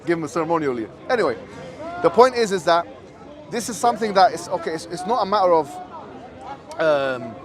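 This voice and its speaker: Fast, high-pitched man debating outdoors. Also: sing-song, over music. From Egypt. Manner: explanatory, checks the listener follows with tag questions. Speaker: street debater. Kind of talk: impromptu religious debate. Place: outdoors in a public park debate spot. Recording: outdoor recording with crowd chatter.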